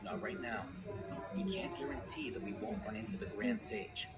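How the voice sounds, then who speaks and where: A cool, aloof young scholar. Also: male voice, mid-range voice, moderate pace, gravelly. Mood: dry. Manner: calm, dry, matter-of-fact. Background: game music.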